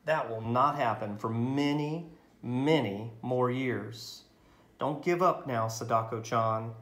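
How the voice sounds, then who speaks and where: A man voicing a gentle, tender father comforting his daughter in a picture book. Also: mid-range voice, takes it slowly, roomy sound. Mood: soothing.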